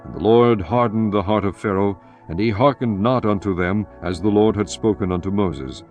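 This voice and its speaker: A man narrating scripture in a solemn, measured, scripted style. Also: fairly low pitch, a little mellow, muffled recording. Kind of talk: scripted dramatised Bible narration. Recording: music behind narration.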